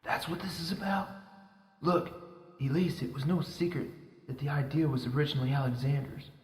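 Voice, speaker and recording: A man's voice: on the high side, steady tone, a little fast, a little mellow, roomy sound, faint music behind, natural voice. A man playing an impatient, self-serving architect in an audio drama, curt and sceptical. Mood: indignant.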